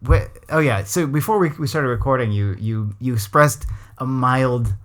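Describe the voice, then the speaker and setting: A man's voice: mid-range voice, normal speed. A man from Los Angeles with a West Coast American accent, hosting a podcast in a thoughtful, casual chat with many restarts. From Los Angeles, USA. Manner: thoughtful, full of restarts and hedges. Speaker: podcast host. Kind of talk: casual conversational interview.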